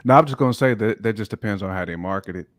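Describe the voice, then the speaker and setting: A man's voice: on the low side, racing, no echo. A middle-aged American man chatting informally and in slang as a panelist in a hip hop discussion. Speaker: talk show panelist.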